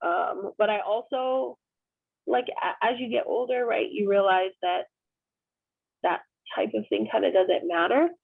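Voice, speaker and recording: A woman's voice: medium pitch, a little slow, vocal fry, slightly rough, dry recording. Woman on a tinny, dry call line. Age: 30s. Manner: conversational and candid, frequent "um". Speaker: discussion host. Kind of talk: hosting an online group discussion. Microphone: video call. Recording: dry, phone-like video call audio.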